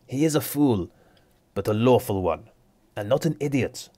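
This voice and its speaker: A man voicing a stern aristocratic lord who passes judgment in a measured, authoritative way. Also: mid-range voice, lively intonation, dark tone, dry recording, voice pushed up, natural voice. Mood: reassuring. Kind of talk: tabletop roleplay character voice.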